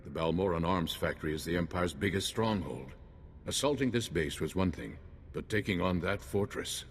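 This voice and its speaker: An actor playing a grave, authoritative commander in a video game, stern and steady. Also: male voice, sits low, normal speed. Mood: resolute. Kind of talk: video game cutscene acting. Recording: hall reverb with faint ambient noise.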